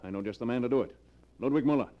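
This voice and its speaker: An actor playing a ruthless, domineering middle-aged millionaire in 1940s radio melodrama, with a forceful, imperious delivery. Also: male voice, medium pitch, very fast, close to an everyday voice. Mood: commanding, impatient. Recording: dull, muffled vintage radio recording.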